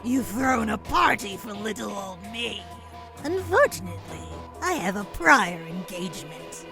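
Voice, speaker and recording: A woman's voice: medium pitch, animated, measured pace, gravelly, music in the background. A woman voicing a sly, taunting fairy villainess in a comic dub, drawling with mock politeness and sweet menace. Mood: mocking, playful.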